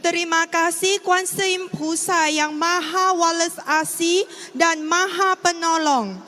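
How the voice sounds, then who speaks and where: Middle-aged Indonesian woman, testimony speaker, slow delivery, with long reverb and faint noise behind. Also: extremely high pitch, fairly even intonation, sharp tone. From Riau, Indonesia. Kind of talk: prepared personal testimony speech.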